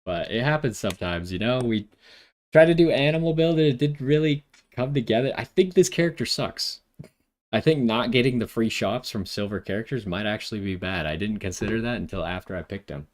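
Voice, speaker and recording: A man's voice: lowish voice, expressive, fairly quick. A man giving casual gameplay commentary as the host of a gaming video, thinking aloud as he decides.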